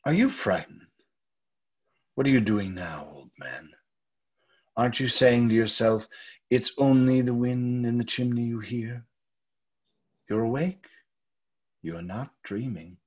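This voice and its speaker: Man as nervous mad murderer, deliberate slow delivery, obsessive, intense, radio drama, slightly muffled recording. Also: medium pitch, a little mellow, breathy edge. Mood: menacing. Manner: deliberate, intense, lingering over words with sudden outbursts. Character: nervous, obsessive madman murderer confessing his deed. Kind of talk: old-time radio horror monologue. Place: indoor home room, group video call. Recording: dull sound, music underneath.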